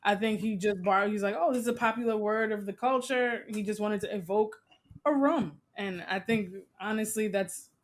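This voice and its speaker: Relaxed co-host in casual discussion. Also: medium pitch, normal speed. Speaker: podcast co-host.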